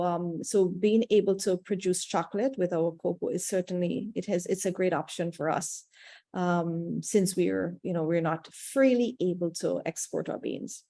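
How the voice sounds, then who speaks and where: Woman, cocoa farmer on a video call, fairly low voice, measured and diplomatic, hesitant, dry sound. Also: gravelly, dark tone, no echo. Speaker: cocoa estate representative and third-generation cocoa farmer. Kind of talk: webinar Q&A answer.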